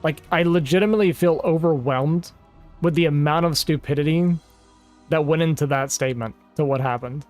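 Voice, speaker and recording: Male game streamer, slightly high voice, rambling, exasperated, casual chat with viewers, faint music behind. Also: a little fast, a little mellow. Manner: rambling and exasperated, heavy use of "like".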